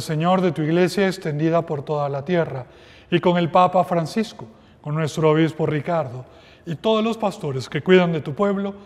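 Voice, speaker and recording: A middle-aged man, a priest, reciting the prayers of Mass in a slow, solemn way. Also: on the high side, a little mellow. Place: small chapel with altar. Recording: long reverb of a chapel.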